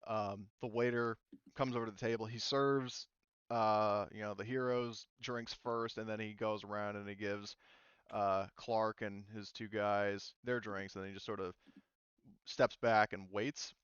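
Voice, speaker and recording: Male game master, smooth clear voice, informal halting narration, dry close sound. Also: on the low side, fairly even intonation, fairly bright tone, no room sound, muffled recording. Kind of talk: casual tabletop game narration.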